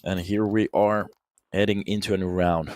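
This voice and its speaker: A man in his thirties, raised in Finland, giving lively solo play-by-play on a shooter match and chatting with viewers between rounds. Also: deep voice, normal speed. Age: 30s. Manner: energetic, chatty, answers viewer questions between plays. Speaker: esports caster. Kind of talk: solo play-by-play commentary with chat replies.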